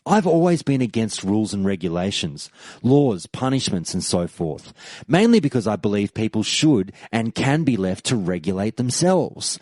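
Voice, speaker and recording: A male podcast host reading listener posts aloud and talking them over in a solo show. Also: no room sound. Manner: animated, rises in pitch when reading quoted posts aloud.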